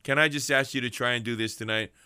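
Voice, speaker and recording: A man preaching a fervent radio sermon to people in prison, repeating phrases and swinging between high urgent calls and low hushed passages. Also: steady tone, fast talker, clear, resonant tone, no echo. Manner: fervent, repetitive preaching with big pitch swings.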